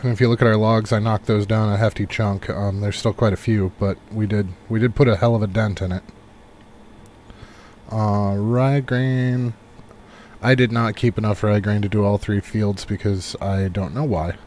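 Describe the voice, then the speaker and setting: A man giving relaxed, rambling live commentary on a game he is playing, thinking aloud as he goes, while recovering from a cold. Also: lowish voice, normal speed, a little hiss or hum. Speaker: game streamer. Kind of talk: casual live gameplay commentary.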